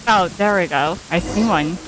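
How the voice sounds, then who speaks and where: A woman's voice: lowish voice, moderate pace, noisy background. A woman streaming a game, casually reading along and reacting aloud as she plays.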